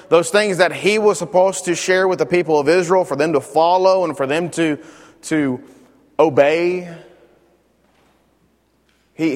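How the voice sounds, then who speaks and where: A man teaches a Bible lesson in a church classroom, speaking loudly and emphatically into a microphone and often restarting his words. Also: a little high, long reverb tail.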